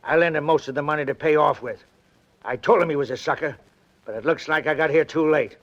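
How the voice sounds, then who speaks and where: An actor plays an earnest, troubled man in vintage radio style. His voice sits a little high with a creaky edge, on a dull 1940s recording. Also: fairly quick, lightly acted. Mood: troubled, urgent. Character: earnest, troubled male friend of a crime victim.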